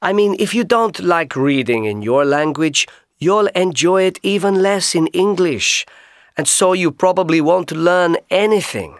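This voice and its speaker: A man giving a scripted tip in a slow, deliberate way. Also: sits high, expressive, no echo, clean studio sound. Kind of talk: scripted textbook audio.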